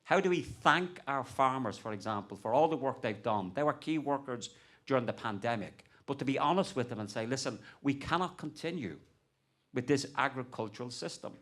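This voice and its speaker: A man's voice: medium pitch, animated, a little fast, fairly bright tone. A man who works as an academic, speaking on a conference panel in an impassioned, rhetorical and urging way. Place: conference hall.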